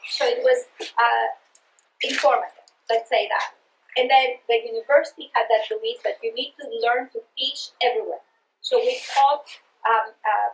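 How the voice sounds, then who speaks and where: A woman's voice: fairly high pitch, echoey room, low-quality recording. A middle-aged woman from Greece with a Greek accent, earnestly giving a professional development talk to teachers, with frequent restarts. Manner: earnest, passionate, with many restarts. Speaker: literacy presenter.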